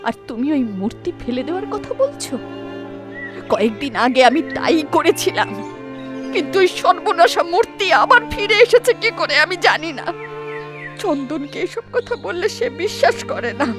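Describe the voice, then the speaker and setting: A woman's voice: very high-pitched, sing-song, moderate pace, over music. An actress voicing an older village mother-in-law who grievingly tells of a cursed idol and warns her daughter-in-law. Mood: grave. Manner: storytelling, grieving, warning.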